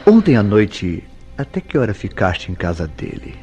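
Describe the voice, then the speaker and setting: A man acting a jealous, tormented lover in a radio soap opera, with theatrical formal diction and dramatic pauses. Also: lowish voice, big pitch swings, a little mellow, soft background music. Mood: tense, then relieved. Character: Mauro, jealous, tormented lover confessing a crime.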